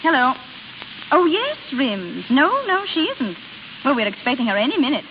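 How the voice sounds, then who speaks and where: A meddling married woman from an old radio play. She gushes insincerely and bosses in a fairly high voice. The recording is tinny, with faint hiss. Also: very animated, normal speed. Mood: falsely sweet. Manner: gushing, insincere, bossy. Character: Florrie, meddling married older sister, insistent, gossipy. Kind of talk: 1930s-40s radio drama acting.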